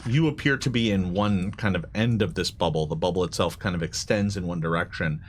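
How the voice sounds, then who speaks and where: A man narrating a tabletop game as game master in a halting, conversational way. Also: deep voice. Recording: live stream with clear background noise.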